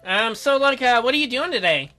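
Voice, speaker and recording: A bright-voiced man with very animated, swooping intonation reads a visual novel aloud as a let's play host. He is theatrical and jokey, slipping in his own commentary. Faint music plays behind him. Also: extremely high pitch, a little fast.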